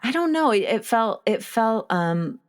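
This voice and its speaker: A woman talking casually about film as a podcast host, enthusiastic and halting in a conversational way. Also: a little high, very animated, slight background noise.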